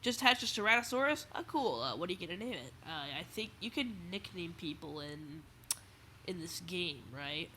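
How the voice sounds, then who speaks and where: Bright-voiced teen boy with a stuffy nose. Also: high voice, expressive, normal speed, slight background noise, poor audio. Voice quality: slightly raspy. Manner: rambling, restarts often, chatty. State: stuffy nose, under the weather. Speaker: toy collector video host. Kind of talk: casual livestream chat.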